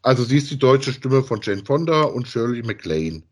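A man's voice: medium pitch, very animated, moderate pace, husky. A man with an ordinary mid-range voice. He talks casually as a podcast co-host, in loose colloquial phrases, often adding a short tag to check agreement. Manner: colloquial, often tags sentences with "ja". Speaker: co-host of a podcast about radio plays. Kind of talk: casual conversational chat.

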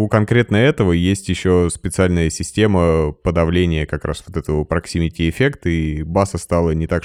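A man, a sound engineer, explaining microphones in a relaxed podcast conversation, practical and explanatory, with lively emphasis. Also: sits low, no echo, clean studio sound.

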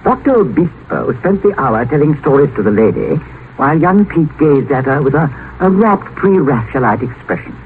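A timid, fussy academic in a 1950s radio play. His voice sits on the high side and swoops about, hesitant and bookish. The vintage recording is thin and phone-like, with faint noise. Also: very animated, moderate pace. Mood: measured narration. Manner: hesitant, donnish, mildly delighted. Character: timid, fussy male scholar, bookish and dithering. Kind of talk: 1950s radio drama acting. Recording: tinny, slightly noisy old radio broadcast.

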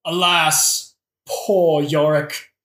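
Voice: medium pitch, steady tone, extremely slow, a bit bright. An actor in a satirical audio play playing an exasperated, brooding young prince who talks in a casual, sweary, dramatic way. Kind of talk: satirical modern-language audio play acting. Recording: echoey room with faint noise.

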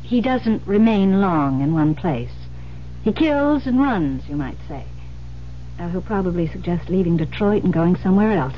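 Through a dull, faintly humming old radio recording comes an actress playing a firm female investigator. She is persuasive and insistent, with deliberate emphasis and a widely moving mid-range pitch, in classic radio drama style. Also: lively intonation, close to an everyday voice. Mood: ominous warning. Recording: muffled vintage radio recording, humming hiss.

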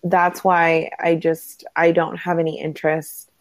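A woman with a hoarse, nasal voice chatting casually as a podcast co-host, quick to laugh. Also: fairly low pitch, completely flat delivery, no echo. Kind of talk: casual chat. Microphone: remote call.

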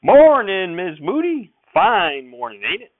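Brief: man playing a folksy middle-aged male sheriff, booming and high-pitched, on a lo-fi radio recording. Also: big pitch swings, moderate pace, creaky, no room sound. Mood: hearty. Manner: hollering, rustic drawl, hearty.

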